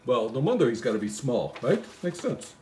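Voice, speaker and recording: A man's voice: medium pitch, sing-song, normal speed, warm tone, slight background noise. A man reading a poem aloud with casual joking asides, in a rambling, comically baffled manner. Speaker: host reading a Christmas poem. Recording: echoey room.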